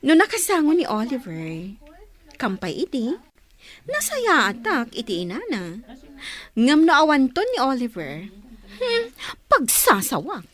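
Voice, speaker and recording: A woman's voice: sits high, wildly swooping pitch, unhurried, fairly bright tone, heavily acted. A woman playing a scheming young girlfriend who acts sweet, in a radio drama. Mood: scornful, plotting.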